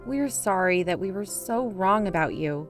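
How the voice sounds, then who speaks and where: Reassuring, level-headed female co-host played by an actress, conversational podcast drama, medium pitch, light music bed. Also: expressive, normal speed, close to an everyday voice. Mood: sincere. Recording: music under the voice.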